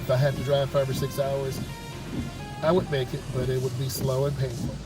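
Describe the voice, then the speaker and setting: A man with a stuffed-up cold calmly explains his driving to viewers while he drives a car in slow traffic. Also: medium pitch, distant mic, rough recording. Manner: calm, unhurried, explanatory. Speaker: driving instructor. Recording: music loud behind the voice.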